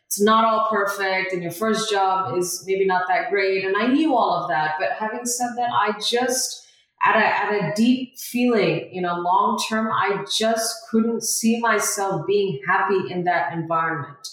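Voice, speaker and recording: A woman's voice: medium pitch, unhurried, a bit bright. A young woman from India, a guest in a casual interview, talking earnestly with frequent "you know" fillers. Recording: very echoey room, far from mic.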